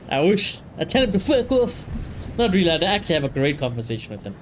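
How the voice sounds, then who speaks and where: A man chatting casually on a livestream, reacting and ranting in an animated, blunt, sweary way. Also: sits high, normal speed, a little hiss or hum. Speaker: live streamer.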